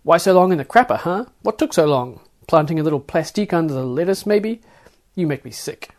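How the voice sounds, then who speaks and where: A man's voice: on the high side, animated, brisk, voice pushed up, natural voice. A man doing a bullying female airport guard. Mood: aggressive taunting. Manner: shouty, sneering, interrogating. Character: stocky gruff female airport security officer, bullying.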